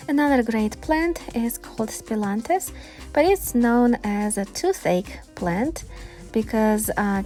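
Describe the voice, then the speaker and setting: Slow-paced Ukrainian-accented woman. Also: medium pitch, dark tone. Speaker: gardening video host. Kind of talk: informal explanatory vlog narration.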